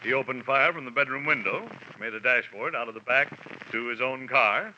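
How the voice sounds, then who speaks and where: A gruff, self-important male office boss in a 1940s drama, stiff and businesslike. His very hard, forceful tone comes through a dull vintage recording with faint noise. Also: medium pitch, brisk. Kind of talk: 1940s radio drama acting. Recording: muffled, faintly noisy old radio broadcast.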